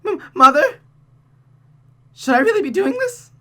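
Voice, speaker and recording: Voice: very high voice, sing-song, unhurried, fairly bright tone, pitched above the performer's own voice, full character voice. A performer voicing a vengeful, unhinged young woman devoted to her mother, in intense emotional outbursts for a visual novel. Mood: desperate, distraught.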